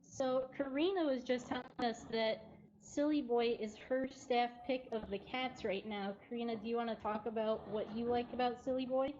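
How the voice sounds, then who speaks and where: Slightly high-voiced woman, casual presenter. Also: steady tone, moderate pace, darkish tone, muffled recording. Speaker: cat cafe presenter. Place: indoor cat cafe room.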